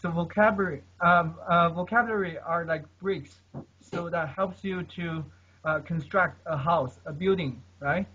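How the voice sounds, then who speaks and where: Man, husky voice, fairly high pitch, keeps saying okay, earnest advice, dull lo-fi sound. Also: normal speed. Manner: keeps tagging sentences with "okay?"; earnest, advice-giving, many fillers. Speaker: guest polyglot. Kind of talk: casual conversation about language learning. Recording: dull lo-fi recording.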